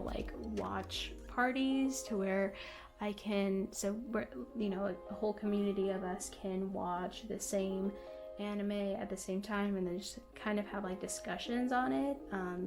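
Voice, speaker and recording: A young woman vlogging casually to her viewers, slightly hoarse and scratchy, rambling with lots of ums and you-knows and little self-deprecating giggles. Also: mid-range voice. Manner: rambling, many fillers like um and you know. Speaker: book and anime vlogger. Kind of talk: casual chat to camera.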